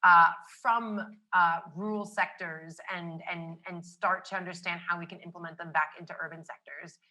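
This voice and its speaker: A woman's voice: lowish voice, fairly even intonation, moderate pace, bright, forceful tone, poor audio. A woman hosting a podcast, summarizing her guest's points enthusiastically with many fillers. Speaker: podcast host. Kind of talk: casual podcast interview.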